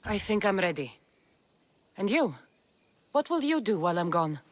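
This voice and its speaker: A woman's voice: medium pitch, sing-song, moderate pace, no room sound, close to an everyday voice. An actress playing a dry-witted female warrior mercenary who talks in a dry, sardonic way in a video game cutscene.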